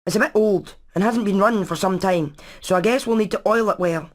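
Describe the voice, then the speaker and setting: A woman voicing a practical, clever engineer hen in an animated game, methodical and matter-of-fact. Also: mid-range voice, fairly quick, natural voice. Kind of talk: animated video game voice acting.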